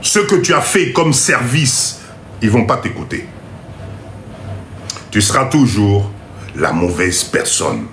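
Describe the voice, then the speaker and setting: Congolese french guy w stuffy nose doing a motivational live, really animated n repeats himself, echoey room. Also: lowish voice, slow, pauses often. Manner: emphatic, repetitive, urging listeners. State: congested nose from a cold. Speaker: motivational live-stream host. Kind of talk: motivational live talk to viewers. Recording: roomy echo, faint background noise.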